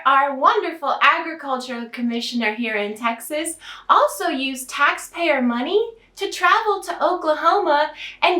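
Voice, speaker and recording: A theatrical storytelling host with an American accent and a high feminine voice, delivering satire in sarcastic mock sweetness. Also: pushed and bright. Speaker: storytelling host in a drag elf persona. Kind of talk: satirical storytime to camera, mock fairy tale. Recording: roomy, echoing sound.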